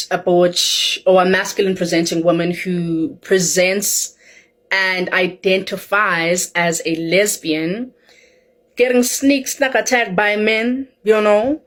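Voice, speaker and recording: A woman giving playful, conversational vlog commentary with some wordplay. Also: medium pitch.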